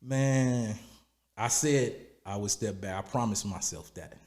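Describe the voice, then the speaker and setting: A raspy-voiced man, a music producer and singer, telling stories in a relaxed, rambling way in a podcast interview. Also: on the low side, measured pace. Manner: relaxed, rambling, repeats "you know what I'm saying". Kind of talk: casual interview storytelling.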